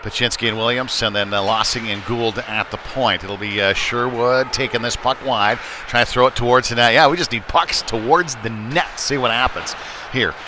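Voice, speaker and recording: A man's voice: mid-range voice, moderate pace. A man giving live play-by-play of an amateur hockey game in an informal, folksy, conversational way. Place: indoor ice rink during a live game. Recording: noisy rink ambience behind voice. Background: clear rink and game noise.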